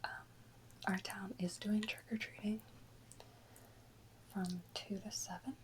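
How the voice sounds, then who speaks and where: A woman whispering softly and unhurriedly in a casual ASMR chat, close to the microphone. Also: medium pitch, little pitch movement, slow, background noise. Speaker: ASMR video host.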